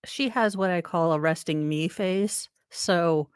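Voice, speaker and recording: A woman with a fairly low voice chats casually and adds wry, opinionated asides on courtroom footage. The recording is close and dry. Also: measured pace, clean tone, no echo. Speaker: co-host commenting on a trial. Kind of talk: casual commentary chat.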